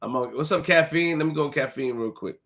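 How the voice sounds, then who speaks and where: A man hosting a casual livestream, shouting out viewers, reading donations and repeating phrases to his chat. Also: fairly high pitch, fast. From Haitian family roots. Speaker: livestream host.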